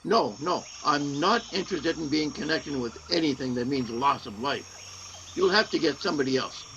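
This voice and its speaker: A man's voice: a little high, animated, moderate pace, a bit bright, dull audio, slight background noise. A man playing an uneasy, money-minded saboteur in a radio play, with a defensive, hesitant manner.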